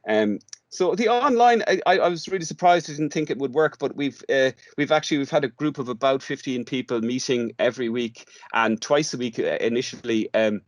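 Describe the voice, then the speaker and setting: A man with an Irish accent answering questions in an informal podcast interview, speaking haltingly, repeating words as he searches for the next one. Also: medium pitch, no room sound, clean studio sound. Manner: hesitant, halting delivery with repeated words and long searching pauses. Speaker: interview guest, radio drama workshop director.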